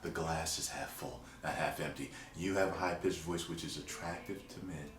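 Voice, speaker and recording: A man's voice: extremely low pitch, normal speed, crowd noise. A man hosting a loose, casual chat, explaining things at length and swearing now and then. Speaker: channel host. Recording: roomy and distant with faint noise.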